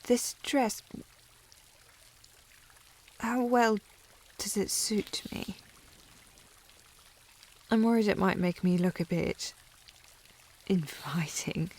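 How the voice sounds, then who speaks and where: Casting: actress as prim, snooty young lady of the manor, British accent, fry-edged voice, coy and prickly, intimate period ASMR roleplay. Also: mid-range voice, drawn-out words, long pauses, a little mellow. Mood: coy, hushed. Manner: prim, snooty, formal phrasing with coy indirectness. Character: haughty, flustered young noble lady of the house, coy. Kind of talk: intimate ASMR historical romance audio roleplay.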